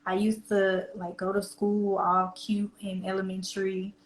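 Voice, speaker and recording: A woman's voice: medium pitch, monotone, unhurried. A woman with a Southern American accent, chatting candidly as an interview guest and laughing often. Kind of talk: casual interview chat. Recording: echoey room with faint hum.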